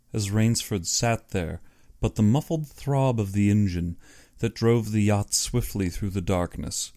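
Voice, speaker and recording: A man's voice: on the low side, a little mellow, no room sound, studio quality. A man reading scripted story narration in a dramatic audiobook style.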